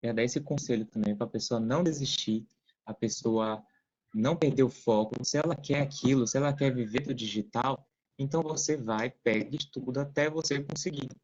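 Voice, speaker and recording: Male guest, Brazilian, medium pitch, casual explanatory delivery, slightly dull call audio. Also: moderate pace, a little mellow. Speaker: interview guest, digital entrepreneur. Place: remote video call.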